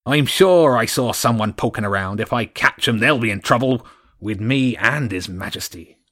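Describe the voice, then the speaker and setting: A man's voice: mid-range voice, animated, moderate pace, fairly bright tone. A man voicing a dim, eager male seagull guard who chatters fussily and anxiously tries to please his master. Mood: grumbling.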